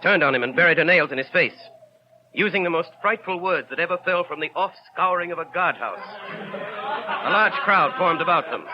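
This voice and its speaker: An actor narrating as a grave, literary storyteller in a measured, dramatic 1930s radio style. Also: male voice, a little high, animated, lightly acted. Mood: dramatic, intense. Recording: muffled, hissy 1930s radio broadcast.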